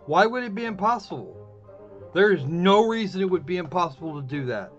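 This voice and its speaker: A man's voice: high-pitched, lively intonation, a little fast. Sarcastic man streaming a game. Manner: chatty, sarcastic, restarts a lot. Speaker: streamer playing a visual novel. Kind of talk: casual livestream commentary. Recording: dull lo-fi stream mic with game music behind. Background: faint game music.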